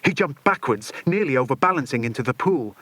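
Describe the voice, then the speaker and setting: Animated male audiobook narrator. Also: mid-range voice, brisk, dry recording. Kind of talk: dramatic scripted children's story narration.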